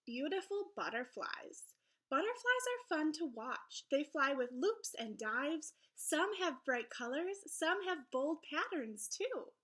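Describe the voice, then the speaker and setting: A woman teacher reading aloud to young children in an encouraging, expressive storybook manner. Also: high-pitched, slow pace. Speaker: elementary school teacher. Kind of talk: children's read-aloud lesson.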